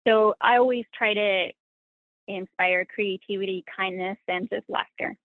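A woman's voice: medium pitch, steady tone, a little slow, no echo. A woman whose first language is Spanish, a sewing content creator speaking as a guest in an interview, in an earnest, reflective, self-critical way. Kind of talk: interview conversation. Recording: tinny dry phone line.

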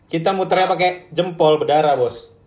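A man's voice: on the high side, brisk, a little mellow. A man in casual group chat, easygoing, steering the topic and agreeing often. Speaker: podcast co-host. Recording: roomy echo with crowd noise.

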